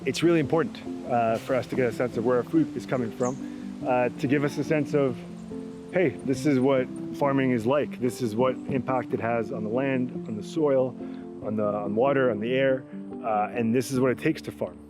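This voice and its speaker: A man from Israel, founder of an urban farming company, explaining his mission in an earnest, measured way. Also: medium pitch, fairly even intonation. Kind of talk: interview-style talk to camera. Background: background music.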